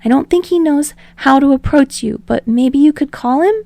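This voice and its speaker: A nerdy young man played by a woman narrator speaks high and light, rambling anxiously. He is earnest and gently insistent, an audiobook character recorded in a dry space. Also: moderate pace, creaky, no echo.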